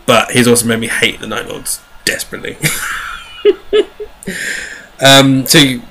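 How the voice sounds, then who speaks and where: A man with a bright, forward voice and medium pitch talks as a co-host in a loose fan discussion. He rambles enthusiastically, doubling back on his phrases as he goes. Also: steady tone, a little slow, slight background noise, rough recording. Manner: casual swearing. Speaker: podcast co-host. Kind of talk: casual fan discussion of sci-fi novels.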